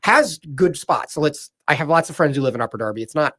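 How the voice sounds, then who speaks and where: A man's voice: on the high side, lively intonation, fast, fairly bright tone. A man appearing as a guest doctor in a radio studio interview, telling stories in a chatty, self-deprecating way. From Philadelphia area, USA. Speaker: guest, medical director. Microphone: studio microphone.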